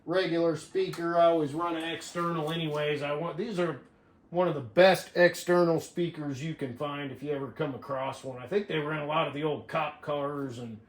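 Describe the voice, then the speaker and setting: A man's voice: on the high side, slight background noise. An American man chatting casually and in a folksy way as he hosts a hobby radio video. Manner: folksy, says "man" often. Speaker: CB radio operator and video host. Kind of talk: casual hobby vlog chat. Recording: roomy echo.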